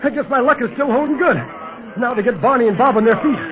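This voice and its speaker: An actor playing a brave, good-humored secret agent and protective uncle in a 1930s radio serial. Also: male voice, very high-pitched, quick pace, a crowd in the background, noisy background. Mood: urgent. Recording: muffled 1930s radio, commotion behind.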